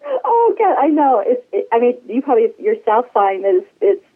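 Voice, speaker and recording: A woman's voice: fairly high pitch, sing-song, normal speed, husky. A woman in her sixties from the United States, a guest talking in a bubbly, casual way and laughing often. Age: 60s. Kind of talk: casual interview. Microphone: phone call. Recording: tinny phone line.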